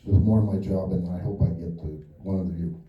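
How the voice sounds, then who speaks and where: A film director answering audience questions after a screening, thinking aloud with lots of fillers and restarts. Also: male voice, low-pitched, fairly even intonation, a little fast, phone-quality sound. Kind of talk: post-screening Q&A. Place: cinema auditorium. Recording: echoey theater from far off.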